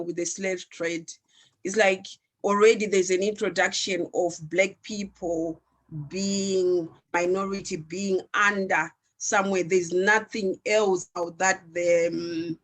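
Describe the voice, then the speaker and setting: A woman from Zimbabwe, raised speaking Shona, talks at a slow, unhurried pace on a discussion panel. Her speech is earnest and reflective, with frequent pauses and restarts as she gathers her thoughts. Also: medium pitch. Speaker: panelist, founder of an arts organization.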